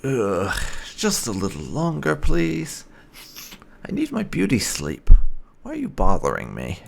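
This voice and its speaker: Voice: medium pitch, wildly swooping pitch, a little slow, gravelly, a little hiss or hum, pitched above the performer's own voice, full character voice. A gamer voicing a reluctant, grumbling young elf bride in a whining, sarcastic way. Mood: sleepy grumbling.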